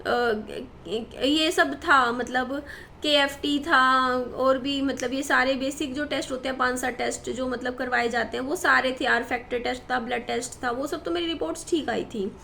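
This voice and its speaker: Worried high-voiced woman in her thirties. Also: steady tone, normal speed, vocal fry, sharp tone, a little hiss or hum. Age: 30s. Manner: worried, rambling, many fillers and restarts. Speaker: vlogger. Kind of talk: personal talk to camera.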